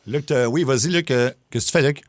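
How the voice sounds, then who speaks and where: Fast-talking Québécois radio host. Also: male voice, mid-range voice, a little hiss or hum. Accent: Québécois French. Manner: fast-talking, quick and chatty. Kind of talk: morning radio prank call.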